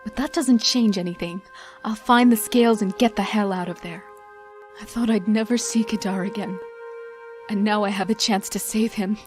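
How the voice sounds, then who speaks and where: A woman playing a determined adventurer who narrates a mission briefing in a hushed, solemn, measured manner. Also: mid-range voice, fairly even intonation, close to an everyday voice. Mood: resolved. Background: music.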